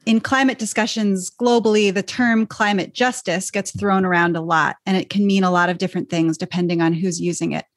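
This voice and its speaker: A woman co-host asking a curious, clearly framed interview question. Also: mid-range voice, fairly even intonation, fairly quick, hardly any pauses, no echo. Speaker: podcast co-host.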